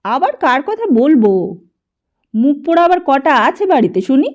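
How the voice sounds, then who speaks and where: Cantankerous blind old grandfather, put on by a woman narrator: scolding, loud, high and swooping, lo-fi storybook reading. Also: very animated, fast. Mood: scornful. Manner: shouting, scolding.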